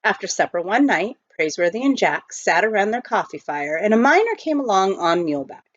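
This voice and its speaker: A woman reading a storybook aloud in an expressive narrating style. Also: medium pitch, a little fast, no echo. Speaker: audiobook reader, teacher. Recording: dull lo-fi close recording.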